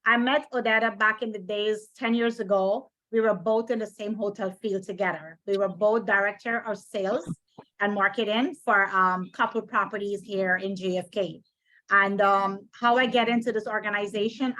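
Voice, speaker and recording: A woman's voice: mid-range voice, a bit bright, rough recording. A middle-aged woman with a Guyanese accent giving an informal sales presentation, driving points home with emphatic repetition. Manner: emphatic, repeats key phrases. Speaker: financial presenter.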